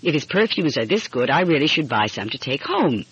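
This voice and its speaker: Woman playing a cheerful young woman, slightly husky. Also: deep voice, big pitch swings, fairly quick, heavily acted. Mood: delighted. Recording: muffled, dull lo-fi audio.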